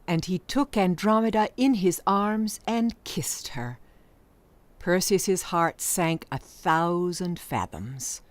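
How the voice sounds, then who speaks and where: A woman's voice: lowish voice, takes it slowly, dry recording. A woman narrating an audiobook story in a scripted, dramatic storytelling style.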